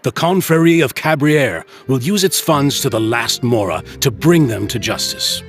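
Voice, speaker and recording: A man's voice: medium pitch, expressive, moderate pace, faint music behind, natural voice. A man playing a courteous, protective middle-aged uncle and guild leader in a video game. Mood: grave, protective. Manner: courteous, theatrical.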